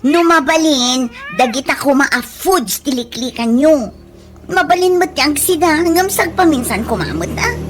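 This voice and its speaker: A woman's voice: sits high, animated, measured pace. A woman with a Filipino accent playing a fretful, caring young daughter in a radio comedy drama, pleading and nagging. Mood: nagging concern. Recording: noisy background.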